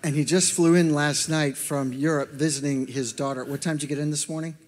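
A man's voice: a little high, fairly even intonation, fairly quick, slight background noise. A man serving as church pastor, introducing a guest preacher and asking him questions, with an affirming manner. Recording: long church-hall reverb.

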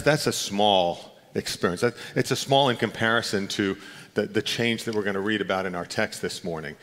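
Middle-aged male pastor, conversational earnest sermon, lower voice, reverberant hall. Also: a bit bright. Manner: earnest, conversational preaching with restarts. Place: church. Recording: long reverb of a church hall.

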